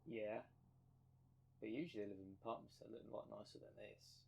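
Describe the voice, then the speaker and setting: A lowish male voice with noticeable noise behind it. A man acts out a dream demon's instinct-driven half, impatient and sarcastic, with blunt, slangy delivery suited to an ASMR audio drama. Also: steady tone, brisk, gravelly, darkish tone. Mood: skeptical.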